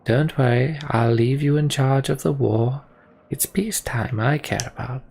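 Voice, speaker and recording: Man acting out a gravelly, scheming prisoner. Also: mid-range voice, a little mellow, slight background noise. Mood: smug persuasion. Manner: deliberate, taunting, speechifying. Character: scheming, menacing male prisoner and political manipulator. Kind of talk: dramatic reading of RPG dialogue.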